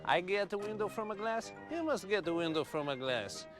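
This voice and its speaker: A man playing a crude, naive comic villager in a film clip, boasting deadpan in broken English with a thick put-on foreign accent. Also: high-pitched, brisk. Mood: boastful. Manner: proud, blunt, deadpan boasting. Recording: film clip with music underneath.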